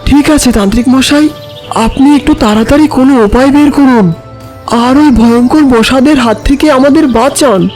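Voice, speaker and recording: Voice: high-pitched, a little fast, a little mellow, faint music behind, lightly acted. An actor voicing an anxious, deferential animal villager in a cartoon, urgent and pleading.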